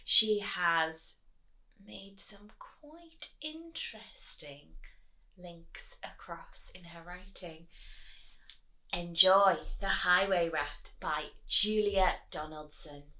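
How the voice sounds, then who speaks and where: A woman reading a storybook aloud to children, expressively, pausing to chat and put questions to her young listeners. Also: on the low side, animated, sharp tone, poor audio.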